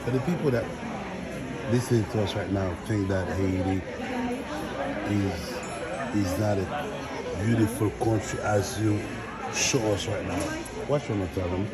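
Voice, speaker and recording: A man's voice: lowish voice, steady tone, measured pace, long pauses. A man chatting casually as an interviewer, exclaiming encouragingly and often repeating his phrases. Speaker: interviewer filming a school project fair. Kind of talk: casual chat, interviewing a child. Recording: loud crowded public room, noisy. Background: loud crowd chatter at a busy school event.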